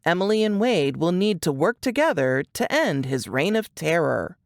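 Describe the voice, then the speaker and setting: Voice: medium pitch, very animated, normal speed, gravelly. A narrator reading a scripted, dramatic suspense promo for a book.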